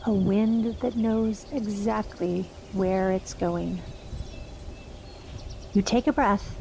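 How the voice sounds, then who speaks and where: Lady leading a guided meditation, real slow, soft, kinda gravelly voice. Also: medium pitch, a little hiss or hum. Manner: slow, soft, unhurried with long pauses.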